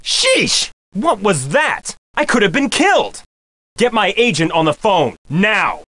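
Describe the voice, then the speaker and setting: An actor playing a vain, brusque soap opera star in a video game, dramatic and demanding. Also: male voice, sits high, huge pitch swings, takes it slowly, bright, forceful tone, full character voice. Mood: outraged. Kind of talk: video game character acting. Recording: heavy background noise with clicks.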